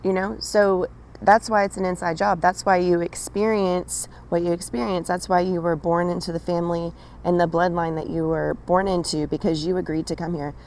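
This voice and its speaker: Raspy, lower-pitched woman channeler, chatty direct-address reading, faint hiss behind. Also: flat delivery, moderate pace, a little mellow. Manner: addresses listener directly, frequent "right?" and "okay?". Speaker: spiritual channeler. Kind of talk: casual spiritual monologue.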